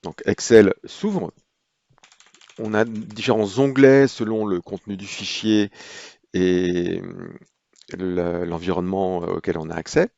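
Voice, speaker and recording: A man calmly walking through a software procedure in a tutorial, pausing often with hesitations as he explains each step. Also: low-pitched, vocal fry, no echo, highs cut off. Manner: unhurried, explanatory, frequent hesitations. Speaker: software trainer. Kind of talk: screen-recorded software tutorial.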